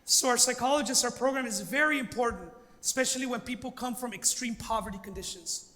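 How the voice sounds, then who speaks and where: Guy pastor from los angeles giving an earnest talk, bright voice, long reverb like a big hall. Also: very high voice, fast talker. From Los Angeles, United States. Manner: earnest, emphatic, with rhetorical questions. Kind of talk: informal public presentation.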